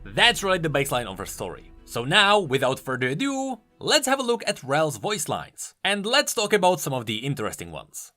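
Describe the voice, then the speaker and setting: A man's voice: fairly high pitch, wildly swooping pitch, brisk, hardly any pauses, sharp tone, faint music behind. A man presenting explanatory video commentary on game lore, informal and emphatic in his delivery. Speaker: YouTube game lore host.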